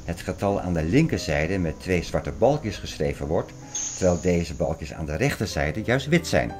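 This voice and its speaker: An actor playing an obsessive, agitated conspiracy theorist in an audio drama, lecturing earnestly and insistently. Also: male voice, deep voice, big pitch swings, moderate pace, a little mellow. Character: obsessive, agitated male conspiracy theorist expounding apocalyptic prophecies. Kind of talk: Dutch audio drama acting. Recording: music behind the voice.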